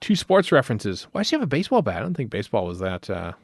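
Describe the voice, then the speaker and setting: A man's voice: mid-range voice, huge pitch swings, rapid-fire, no room sound, clean studio sound. A man hosting a podcast, chatting casually over an episode in a jokey, rambling way. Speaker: podcast host. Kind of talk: casual episode commentary chat.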